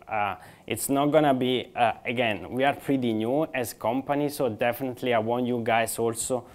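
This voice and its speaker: Italian man giving a business talk. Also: mid-range voice. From Italy. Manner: conversational, halting, with frequent restarts and fillers. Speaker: conference speaker. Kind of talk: business conference presentation.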